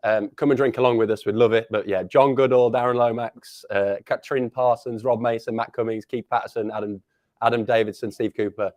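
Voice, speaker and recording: Jokey, enthusiastic male co-host, mid pitch, dry close sound. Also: fairly even intonation, brisk, darkish tone, no room sound. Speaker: livestream co-host. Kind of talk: casual live stream chat.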